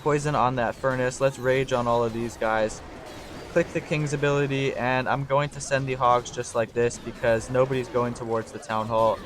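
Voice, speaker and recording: Young male gameplay commentator, slightly hoarse, mid-pitched voice, thinks out loud, faint noise behind. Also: moderate pace. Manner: talks through his thinking, excitable on big plays. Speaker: gaming channel host.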